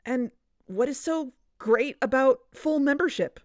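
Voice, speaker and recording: A woman's voice: sits high, a little slow, no room sound, clean studio sound. A woman acting as a skeptical, stubborn questioner in an audio drama, with a pointed, incredulous manner. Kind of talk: scripted audio fiction dialogue.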